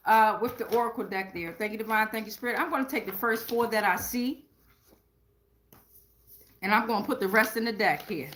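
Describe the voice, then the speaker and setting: A woman's voice: fairly high pitch, sharp tone. A woman hosting a casual tarot livestream, talking straight to viewers in an emphatic, slangy, profane way and often checking in with tag questions. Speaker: tarot reader and livestream host.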